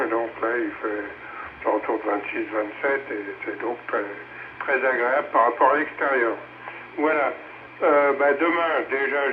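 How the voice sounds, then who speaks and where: An older man chatting casually as an amateur radio operator, rambling a little and searching for words, over a radio link. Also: mid-range voice. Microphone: two-way radio transmission. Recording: tinny, noisy VHF radio relay.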